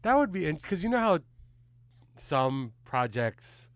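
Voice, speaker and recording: A man's voice: on the high side, sing-song, unhurried, no echo. A man hosting a casual chat, joking around in slangy speech and swearing freely. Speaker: podcast host.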